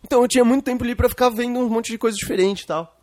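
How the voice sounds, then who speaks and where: A man's voice: very high-pitched, very fast, dry recording. A young Brazilian man with a Brazilian Portuguese accent, co-hosting a podcast in casual chat and telling stories full of slang and fillers. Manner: animated storyteller, lots of slang and fillers. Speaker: podcast co-host.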